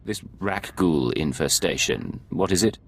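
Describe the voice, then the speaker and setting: An actor playing a cool, cynical secret agent in a video game, speaking in a controlled, laconic deadpan. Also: male voice, sits low, measured pace, a little hiss or hum. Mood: controlled, businesslike.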